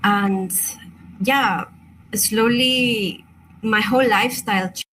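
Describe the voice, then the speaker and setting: Bright-voiced Chilean woman presenting a workshop. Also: medium pitch, slow, a little hiss or hum, poor audio. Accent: Chilean Spanish. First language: Spanish. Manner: soft-spoken, hesitant, many fillers and restarts. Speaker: crystal workshop teacher.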